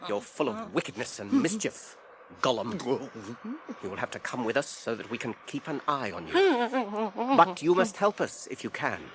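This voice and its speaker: An actor playing an earnest, firm, burdened hobbit in a radio drama, speaking in a measured, commanding way. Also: male voice, mid-range voice, very animated, fairly bright tone. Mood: firm, grave. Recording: old radio drama, faint hiss.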